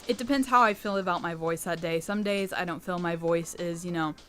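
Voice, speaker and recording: Low-voiced young woman chatting candidly. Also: fairly quick, a bit bright, slight background noise. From United States. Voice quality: low rich voice, sometimes taken for a boy. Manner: chatty and candid, with laughs and restarts. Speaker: channel host answering fan questions. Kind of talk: casual Q&A monologue.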